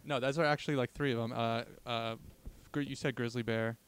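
A man's voice: medium pitch, steady tone, a little fast, fairly bright tone, smooth. A young man hosting a comedy podcast, chatting casually with crude, slangy banter. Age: young adult. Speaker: podcast host.

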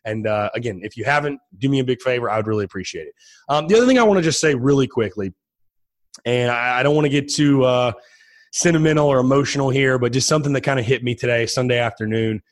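A man hosting a sports podcast, talking casually with a slightly raspy, hoarse voice from allergies and yelling, chatty and energetic. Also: quick pace, dry recording. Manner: energetic, chatty, repeats himself while making pitches. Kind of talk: casual solo podcast talk.

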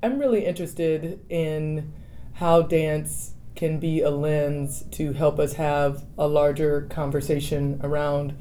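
An American woman talking casually as a guest in an interview, expressive as she tells things and voices other people's words. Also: low-pitched, slow, slight background noise. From United States (Texas). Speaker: interviewed guest, dancer and choreographer.

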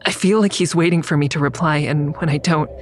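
An anxious, secretive woman played by an actress with a distinctly low voice, in an audio drama. She moves between first-person narration and dialogue, over clearly audible background ambience. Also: a little fast, a little hiss or hum, close to an everyday voice. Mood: resigned, uneasy.

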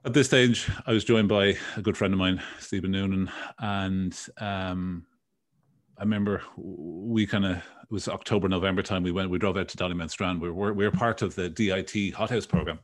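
A man's voice: low voice, steady tone, moderate pace. A man of about forty from Dublin, with a Dublin Irish accent, chatting casually as an entrepreneur guest in an interview. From Dublin, Ireland. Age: around 40. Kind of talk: casual autobiographical interview talk.

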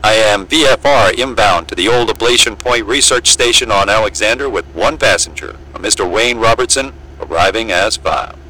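A man's voice: on the low side, moderate pace, few pauses, bright, forceful tone, rough recording. An actor playing a breezy, matter-of-fact, seasoned male Antarctic pilot in an audio drama. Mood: businesslike. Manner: breezy, matter-of-fact, wry, salty-tongued. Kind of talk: naturalistic audio drama acting with aviation radio jargon.

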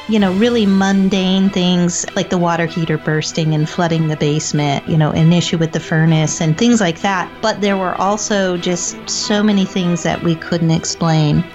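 Woman guest on dull lo-fi audio. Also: mid-range voice. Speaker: podcast guest, paranormal investigator. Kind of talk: conversational storytelling.

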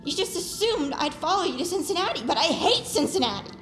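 A woman's voice: very high-pitched, sing-song, fast, fairly bright tone, full character voice. A woman playing a fed-up teenage girl who speaks her mind earnestly and emotionally in an audio drama. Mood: hurt, earnest. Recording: roomy echo with music underneath.

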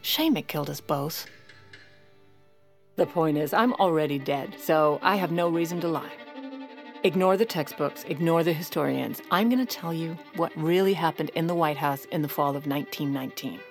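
A self-assured woman in her forties, a First Lady with a lowish voice and a dry, haughty wit. She narrates an audio drama in a deadpan way, over faint music. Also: moderate pace. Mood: wry, confiding. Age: 40s.

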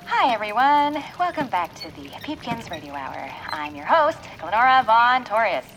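A woman playing a bumbling, flustered radio show host in an audio comedy, halting and correcting herself. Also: on the high side, sing-song, over music, low-quality recording. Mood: cheerful, flustered. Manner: halting, muddled, self-correcting.

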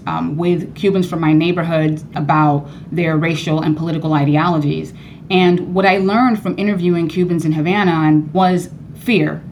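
A Cuban-born woman professor speaking earnestly as a radio interview guest, growing animated when she presses a point. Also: a little hiss or hum. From Cuba. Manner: earnest, animated, rising high when emphatic.

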